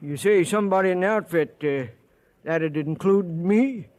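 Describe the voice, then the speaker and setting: A man's voice: sits high, moderate pace, darkish tone, close to an everyday voice. An American man playing a folksy, wry old sidekick in an old-time radio western. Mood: curious. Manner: folksy, needling, knowing. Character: nosy, wry old cowhand sidekick, male. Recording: noisy vintage radio recording.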